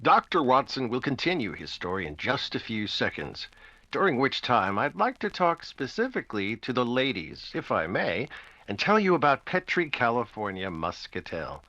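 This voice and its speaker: An actor playing a genial, polished radio announcer in 1940s style, delivering scripted pitches and narration. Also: male voice, big pitch swings, normal speed, sharp tone, dry recording, muffled recording, exaggerated voice. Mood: genial, selling.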